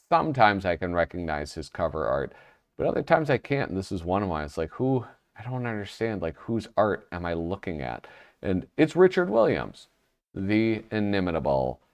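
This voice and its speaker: A man hosting his own magazine review channel, chatty and self-deprecating, sounding nasal from a cold. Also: sits low. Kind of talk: casual solo review vlog.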